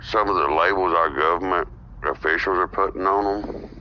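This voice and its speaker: A man's voice: deep voice, moderate pace, slight background noise. A man who hosts a podcast, speaking as a guest in an earnest, opinionated political discussion. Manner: earnest, opinionated, steady explaining. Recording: dull lo-fi audio.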